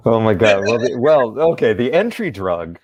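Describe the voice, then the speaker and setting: A man's voice: lowish voice, sing-song, moderate pace, a crowd in the background. An older man from San Diego, a theater actor, chatting as a guest and telling stories in a reflective, rambling way. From San Diego, United States. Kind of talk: casual interview chat, storytelling.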